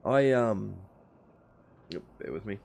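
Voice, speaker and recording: Aussie guy streaming a game, lowish voice, chatty, reading out chat and answering. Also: expressive, slow, creaky, a little mellow, slight background noise. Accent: Australian. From Australia. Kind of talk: casual live-stream gameplay chat.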